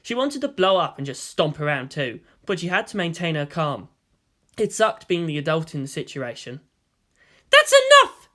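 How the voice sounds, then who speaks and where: A woman reading fan fiction aloud as an expressive storyteller, in audiobook-style narration. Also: deep voice, moderate pace.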